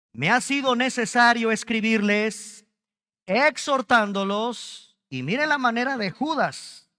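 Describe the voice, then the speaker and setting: A man's voice: high-pitched, bright, forceful tone, smooth, big-room sound. A man with a Mexican Spanish accent preaching a sermon at a church service, stretching key words and speaking straight to the congregation. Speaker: pastor.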